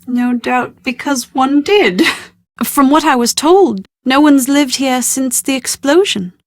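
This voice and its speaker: An anxious young British bride-to-be in a mystery video game. Her voice sits fairly high, and she speaks with genteel, articulate earnestness and a touch of drama. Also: takes it slowly, no echo. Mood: polite, earnest. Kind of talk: scripted video game voice acting.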